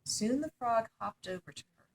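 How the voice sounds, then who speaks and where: A woman reading a children's story aloud as an expressive narrator. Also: fairly high pitch, normal speed, a little hiss or hum. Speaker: storytime reader.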